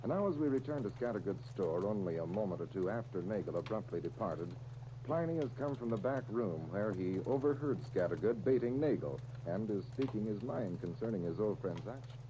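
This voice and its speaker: A man's voice: lowish voice, animated, fairly quick, noisy background. An American man reading scripted narration as a radio announcer, with polished, emphatic 1930s delivery. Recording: muffled 1939 radio recording, music behind.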